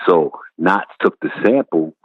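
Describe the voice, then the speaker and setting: Very deep new rapper guy, slangy laid back, sounds like a phone line, dry. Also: expressive, a little slow, slightly rough, no echo. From Brooklyn, New York. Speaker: rapper guest. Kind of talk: casual interview chat.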